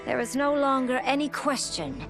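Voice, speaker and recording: An actress playing a proud, resolute empress who narrates formally in a measured, regal way for a video game. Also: female voice, high voice, lively intonation, over music. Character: proud, resolute, compassionate empress recounting her reign.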